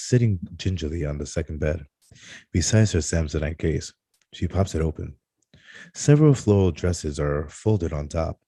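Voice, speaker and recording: A man narrating screenplay stage directions at a table read, in a halting delivery that stumbles over words. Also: low voice, warm tone, breathy edge, no echo.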